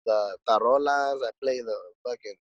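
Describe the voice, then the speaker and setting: A man's voice: mid-range voice, normal speed, dry recording, dull audio. A man and musician guest in a casual conversation, talking in a laid-back, rambling way with lots of swearing and "dude". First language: English.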